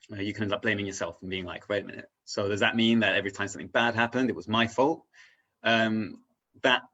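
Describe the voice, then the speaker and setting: A man talking casually and reflectively as a guest, haltingly searching for his words and often checking in with "right?". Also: fairly low pitch, fairly bright tone. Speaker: interview guest on spirituality.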